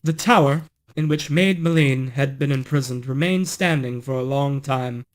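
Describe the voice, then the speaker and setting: A man's voice: medium pitch, moderate pace. A man narrating a bedtime fairy tale as a storyteller. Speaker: storyteller, streamer.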